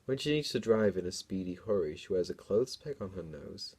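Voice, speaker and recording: A man's voice: deep voice, lively intonation, fairly quick, darkish tone, breathy edge. A young man doing a dramatic reading of a story, breaking into casual, self-mocking asides. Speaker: comedian reading own childhood book.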